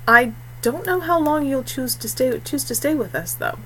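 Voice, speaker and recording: A woman's voice: high-pitched, expressive, moderate pace, a bit bright, voice pushed up, low-quality recording. An uneasy young nobleman, voiced by a woman narrator speaks hesitantly in an audiobook. He is dutiful but unsure, and the recording has noise behind him. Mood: slow, reluctant. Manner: hesitant, questioning. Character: uneasy, dutiful young male disinherited heir.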